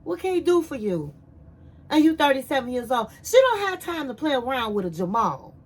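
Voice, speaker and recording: A woman's voice: sits high, a little fast, low-quality recording. A woman casually recapping a reality show, telling it like a story, acting out the quotes and calling her viewers queens. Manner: animated storyteller, acts out quotes, says "honey" and "my queens".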